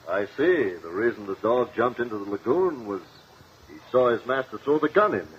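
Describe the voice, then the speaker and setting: An actor playing an inquiring judge in a 1950s radio courtroom drama, formal and measured. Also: male voice, mid-range voice, lively intonation, close to an everyday voice. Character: puzzled, inquiring male judge presiding in court. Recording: muffled, noisy old radio recording.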